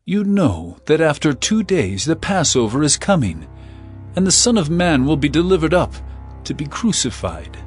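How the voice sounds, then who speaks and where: Solemn caring male teacher in a bible drama, very expressive pitch, music behind him. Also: normal speed. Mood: calm authority. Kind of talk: dramatized scripture acting.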